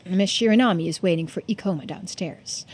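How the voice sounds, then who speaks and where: A woman's voice: on the low side, brisk, darkish tone, breathy edge. A woman voicing an earnest, anxious young man, the protagonist of a video game, in a conversational, hesitant way. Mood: determined. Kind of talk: video game dialogue read-aloud.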